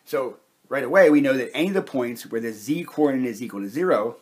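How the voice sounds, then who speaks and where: A man with a slightly husky voice giving a methodical, step-by-step explanation as a science instructor at a whiteboard. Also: medium pitch, expressive, fast talker. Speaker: chemistry instructor.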